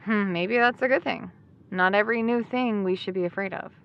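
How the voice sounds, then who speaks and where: A woman's voice: medium pitch, brisk, muffled recording, close to an everyday voice. A woman playing a trusting, firmly decided best friend in an audio drama, talking in a casual, assured way that brushes off doubt. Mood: reassuring, dismissive. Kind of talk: audio drama acting, conversational.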